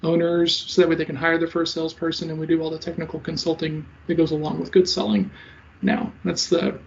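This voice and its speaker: A high-voiced man in his thirties talks fast and stutters now and then as he answers interview questions about coaching. His tone stays flat with a gravelly edge. The audio is a bit dull and lo-fi. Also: slight background noise. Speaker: sales coach and guest.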